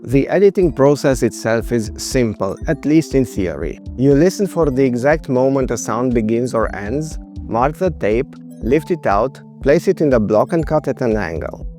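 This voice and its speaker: Measured male explainer voice over music. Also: mid-range voice, animated, mellow. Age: 30s to 40s. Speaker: video presenter. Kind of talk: scripted explainer narration.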